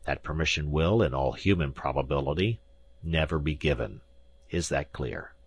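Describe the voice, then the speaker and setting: A man's voice: a bass voice, moderate pace, breathy edge, dry recording, voice pushed down. A man voicing an arrogant, imperious professor in an audiobook, pompous and lecturing as he talks down to his listener. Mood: haughty, lecturing. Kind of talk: audiobook character acting.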